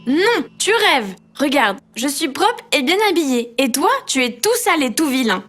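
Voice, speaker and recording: A woman's voice: high voice, big pitch swings, normal speed, a bit bright, soft background music. A woman voicing a vain, bossy young girl train in a children's cartoon, boastful and easily upset. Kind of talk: children's cartoon dub acting.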